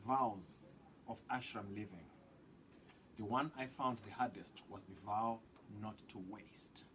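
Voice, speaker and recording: Guy reading a kids book out loud, takes his time, dramatic phrasing, some noise behind him. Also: mid-range voice, poor audio. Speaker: read-aloud storyteller. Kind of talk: children's picture book read-aloud.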